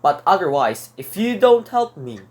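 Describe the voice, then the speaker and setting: A man's voice: high voice, big pitch swings, moderate pace, darkish tone. A man playing a desperate jailed burglar in a radio drama, earnest and theatrical, with formal old-fashioned phrasing. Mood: insistent, bitter. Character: desperate jailed male burglar pleading his innocence. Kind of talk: dramatic radio play monologue and narration.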